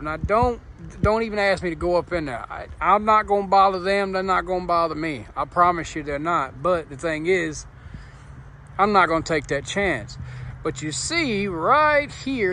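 Male trucker vlogger, fairly high voice, folksy casual delivery, handheld phone outdoors, faint engine rumble behind. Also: pushed and bright. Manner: folksy, informal, colloquial. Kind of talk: casual vlog narration to viewers. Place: outdoors on a roadside at night. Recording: clear outdoor vehicle noise behind voice.

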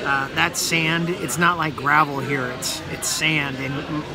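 Man, 30s, food vlogger, slightly high voice, talking between bites, phone at arm's length, sports bar, loud music behind. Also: fairly even intonation, a little slow, poor audio. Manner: rambling, talks between bites with mouth full. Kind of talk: casual vlog commentary while eating. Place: busy sports bar restaurant, indoors.

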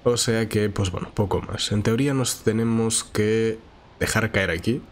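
A man's voice: fairly low pitch, normal speed. A man with a nasal, congested voice and a sore throat from a cold, giving informal, chatty Let's Play commentary. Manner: informal, chatty, thinking aloud. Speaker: gaming channel host. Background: game music.

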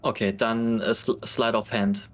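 A man chatting casually as a tabletop game player, hesitant and halting. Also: lowish voice, flat delivery.

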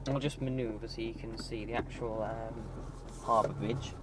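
A man's voice: lowish voice, steady tone, moderate pace. A man giving a casual, rambling driving tour from inside his car, with many ums and restarts. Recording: loud car engine and road noise.

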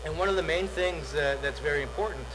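Middle-aged man demoing software on stage. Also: fairly high pitch, fast, noisy background. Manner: salesy, repeats words, many "uh" fillers. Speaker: product demo presenter. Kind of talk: conference tech demo. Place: large dark conference hall, on stage.

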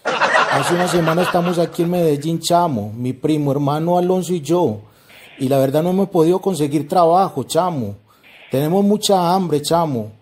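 A man's voice: a little high, moderate pace. A man with a Venezuelan accent making a prank phone call, pleading hesitantly while playing it straight. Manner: pleading, hesitant, repeats "chamo". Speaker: prank caller, channel host. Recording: very noisy phone prank recording.

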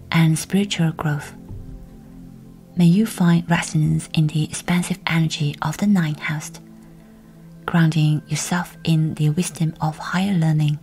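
Female meditation guide, low-pitched, soft and whispery, gentle measured read over faint music.

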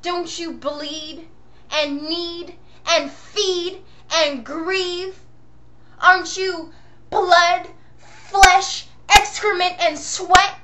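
Woman voicing a high, theatrical, accusing dark self. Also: steady tone, drawn-out words, slight background noise, heavily acted. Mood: accusing, probing. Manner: theatrical, declamatory, archaic diction. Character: poet's accusing, passionate, wounded dark inner self.

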